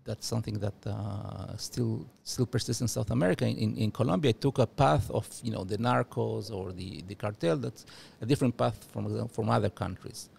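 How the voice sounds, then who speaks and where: Man, 40s, from Uruguay, gravelly and low, halting guest speech into a studio mic. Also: a little mellow, a little hiss or hum. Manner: halting, many restarts and fillers. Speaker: guest, former diplomat. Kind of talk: podcast interview. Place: studio office room. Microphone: studio mic with headphones.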